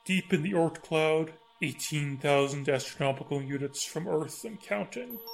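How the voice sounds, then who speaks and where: A man's voice: fairly high pitch, gravelly, poor audio. A man reading scripted narration for a science-fiction audio drama promo. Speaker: trailer narrator. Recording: music bed behind the voice.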